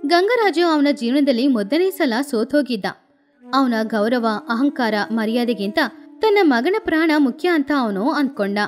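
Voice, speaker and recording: A woman's voice: high-pitched, expressive, moderate pace, soft background music. A woman storyteller reading a scripted moral tale as narration.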